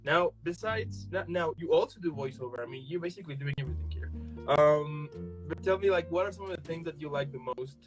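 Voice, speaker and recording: A man's voice: on the high side, fairly quick, music in the background, poor audio. A man hosting an interview show, asking questions in an eager, upbeat way. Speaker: host. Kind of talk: casual interview chat.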